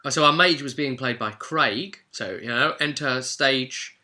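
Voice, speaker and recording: A man with a very bright, forceful voice at a middle pitch, narrating a tabletop role-play recap as its host. He tells it informally and expressively, like a game master talking straight to viewers. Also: a little slow. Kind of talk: animated storytelling recap to viewers.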